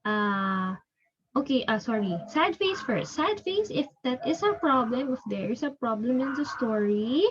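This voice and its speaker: A woman teacher giving a reading lesson, explaining step by step in a halting, repetitive way and checking understanding. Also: high-pitched, expressive, muffled recording, crowd noise.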